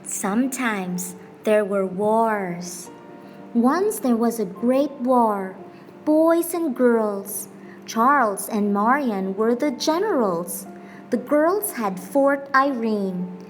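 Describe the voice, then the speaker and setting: A woman reading a children's picture book aloud as a teacher, with expressive, deliberate phrasing and pauses for emphasis. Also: on the high side. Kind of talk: scripted storybook narration. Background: background music.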